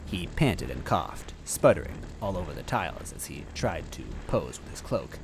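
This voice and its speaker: Deep, animated male storyteller. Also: moderate pace, vocal fry, a little hiss or hum. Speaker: narrator reading a story aloud. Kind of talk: dramatic first-person story narration.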